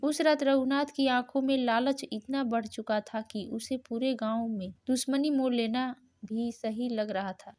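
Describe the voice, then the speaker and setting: A woman's voice: on the high side, fairly even intonation, measured pace, a little mellow. A woman narrating a scripted moral story as the storyteller.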